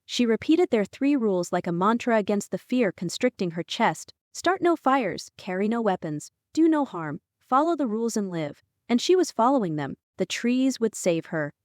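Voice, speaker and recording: A woman's voice: fairly high pitch, sing-song, normal speed, hardly any pauses, darkish tone, dry recording, studio quality. A woman narrating a fantasy audiobook from a script, acting out some dialogue within it.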